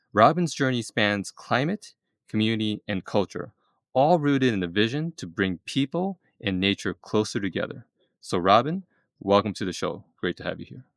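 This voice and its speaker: A man hosting a casual interview, excitable and full of fillers and restarts. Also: on the low side, vocal fry, no echo, clean studio sound. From East Asia. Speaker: podcast host.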